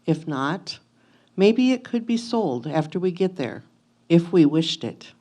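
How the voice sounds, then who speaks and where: A woman's voice: on the low side, animated, a little slow, mellow. A woman playing a devoted, anxious 1850s wife, reading her letter to her husband in an earnest, tender, old-fashioned manner for reader's theatre. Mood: practical, eager.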